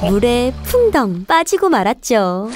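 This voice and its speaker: A woman's voice: fairly high pitch, big pitch swings, a little slow, loud music behind the voice. A woman narrating a scripted children's fairy tale in a careful storytelling manner.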